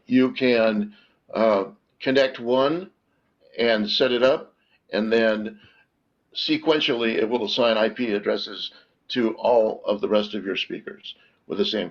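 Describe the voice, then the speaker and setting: Halting man on dull audio. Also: fairly low pitch, vocal fry. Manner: halting, pauses mid-phrase. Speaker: company product presenter, webinar guest. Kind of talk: informal technical product explanation. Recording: dull, lo-fi online call audio.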